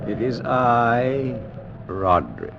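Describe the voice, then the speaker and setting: An actor playing a haunted, gloomy aristocrat in an old-time radio drama, speaking slowly and hollowly. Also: male voice, medium pitch, big pitch swings, vocal fry, noisy background, full character voice. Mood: eerie. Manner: slow, hollow and brooding. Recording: muffled old radio recording.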